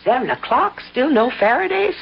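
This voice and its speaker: A practical, doubting innkeeper's wife in an old radio play. Her voice is fairly high and swoops with folksy teasing, on a slightly dull vintage recording. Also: sing-song, normal speed, vocal fry, slight background noise, exaggerated voice. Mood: skeptical. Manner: teasing, folksy, matter-of-fact. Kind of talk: radio drama acting.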